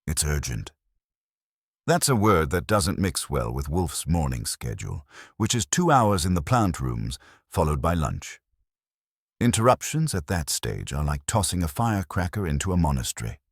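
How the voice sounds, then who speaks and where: An extremely deep male voice narrates detective fiction in the first person. The delivery is wry and hard-boiled with lively intonation, and the sound is close and dry. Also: normal speed, no echo. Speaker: audiobook narrator.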